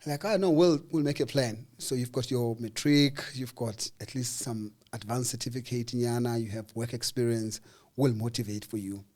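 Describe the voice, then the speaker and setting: A man with a South African accent telling a personal story in a casual interview, acting out the people in it and pausing on fillers. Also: mid-range voice. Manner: animated, acts out dialogue with voices, hesitant fillers. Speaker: interview guest.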